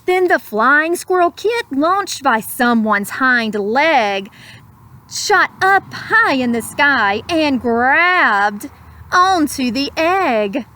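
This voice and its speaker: A woman reading a children's story aloud in an expressive, dramatic manner, with simple explanatory asides. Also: high-pitched, extremely slow, a little hiss or hum. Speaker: storybook reader.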